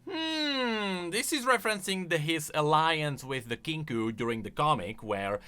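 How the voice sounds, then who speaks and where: A man's voice: a little high, expressive, measured pace, bright, forceful tone, clean tone. A man hosting a video, giving off-the-cuff, emphatic commentary that explains game lore.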